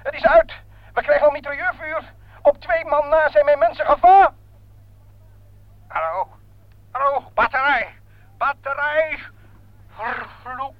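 An actor playing an agitated military man shouting over a field telephone in a radio drama. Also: extremely high pitch, unhurried, long pauses, no room sound. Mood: agitated, urgent. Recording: dull, dry telephone-like radio play recording.

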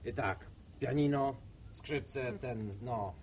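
A man's voice: mid-range voice, takes it slowly, a little mellow, a little hiss or hum. An actor playing a naive city man in the countryside, hesitant yet pedantic, in a radio drama. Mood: earnest, puzzled. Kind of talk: Polish radio drama acting.

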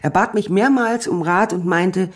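A woman's voice: fairly low pitch, normal speed, slightly rough. An actress playing a wounded but resolute woman who emphatically recalls the lover who left her, in a radio play. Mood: hurt, firm. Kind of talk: documentary radio play monologue.

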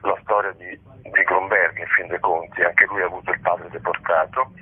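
A man explaining things in a radio interview, reflective and halting, with digressions. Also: deep voice, little pitch movement, slight background noise. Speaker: interview guest, theatre collaborator. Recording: muffled phone line on radio.

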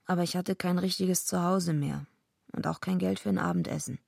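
A woman's voice: lowish voice, fairly even intonation, brisk, creaky, darkish tone, dry recording, studio quality. An actress playing a tough, bitter female wrestler who tells her own story brashly in a German radio drama. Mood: subdued, weary. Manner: brash, foul-mouthed. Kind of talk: German radio drama, first-person narration and dialogue.

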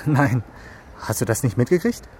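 Easygoing young ex-cop, played by a male actor, mid-pitched, casual and dryly funny, radio drama with background noise. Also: expressive, unhurried, lightly acted. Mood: relaxed, friendly. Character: easygoing, impatient young ex-cop working in a restaurant. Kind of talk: naturalistic radio drama dialogue.

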